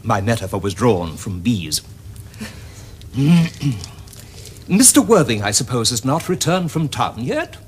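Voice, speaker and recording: A middle-aged English country rector in a period comedy. His mid-pitched voice swings up and down with fussy, pompous courtliness. Some noise sits behind the old recording. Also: big pitch swings, takes it slowly, pauses often. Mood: flustered gallantry. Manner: pompous, unctuous, clerical. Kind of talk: period comedy screen acting.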